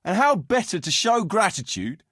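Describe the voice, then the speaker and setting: Blunt, animated medieval soldier. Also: male voice, sits high, normal speed, no room sound, studio quality, heavily acted. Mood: gruff, mocking. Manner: blunt, earthy, swearing. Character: rough, foul-mouthed medieval soldier leading a hard-drinking band. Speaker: video game voice actor. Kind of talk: video game dialogue acting.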